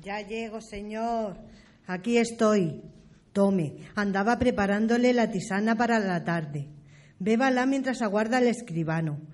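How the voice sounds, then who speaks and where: A woman's voice: mid-range voice, a little slow, darkish tone, close to an everyday voice. A woman playing a dutiful young maidservant in a radio drama, deferential and attentive to her master.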